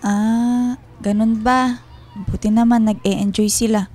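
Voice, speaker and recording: A subdued, mellow-voiced lonely wife. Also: female voice, a little high, level tone, slow pace. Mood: subdued, hurt. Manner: restrained, hesitant, politely hiding hurt. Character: lonely, guarded wife and mother working far from family. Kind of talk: radio drama acting.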